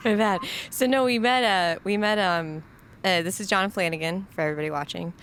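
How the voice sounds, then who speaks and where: A woman's voice: medium pitch, a little fast, fairly bright tone, a little hiss or hum. A woman in her thirties from Nebraska, hosting a casual podcast chat, giggly and full of fillers. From Nebraska, USA. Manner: giggly, chatty, many fillers. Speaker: podcast host.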